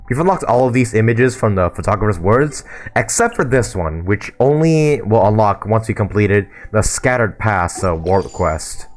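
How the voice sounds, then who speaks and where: Young male gaming host, hoarse from a sore throat, rambling casual commentary. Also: on the low side. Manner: loose, with frequent fillers and repeats. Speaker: gaming YouTuber.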